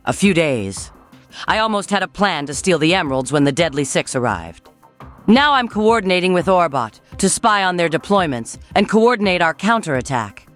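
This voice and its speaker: A woman's voice: on the low side, sing-song, normal speed, faint music behind. A woman voicing a cool, confident female bat spy in a cartoon, composed as if briefing allies. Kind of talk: cartoon dialogue.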